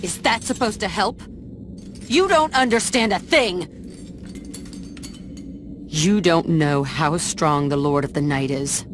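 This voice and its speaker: A woman's voice: lowish voice, slow, long pauses. A woman acting a haunted, determined warrior in a video game, curt and intense with a defiant edge. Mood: bitter, defiant. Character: embittered, determined female warrior, haunted but resolute. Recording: clear background noise and ambience.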